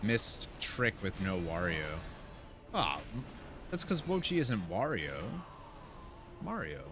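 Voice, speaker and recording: A man's voice: on the low side, huge pitch swings, slow, noisy background. A man giving casual, rambling and enthusiastic gameplay commentary as he chats with his viewers. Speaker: video game streamer.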